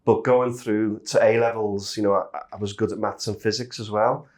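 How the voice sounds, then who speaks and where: A man's voice: on the low side, fairly even intonation, normal speed. A middle-aged man from Liverpool with a Liverpool accent, chatting as a guest in a casual interview. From Liverpool, England. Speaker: interview guest, industrial safety manager.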